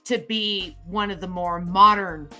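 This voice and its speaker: A woman's voice: fairly low pitch, unhurried, bright, forceful tone, faint music behind. A woman co-hosting a casual chat show, rambling and thinking aloud with fillers while she draws. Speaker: co-host drawing a comic.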